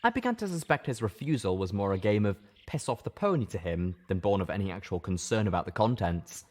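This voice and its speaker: Low-pitched, expressive male story narrator. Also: fairly quick. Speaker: audiobook reader, narrator. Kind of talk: scripted first-person story narration.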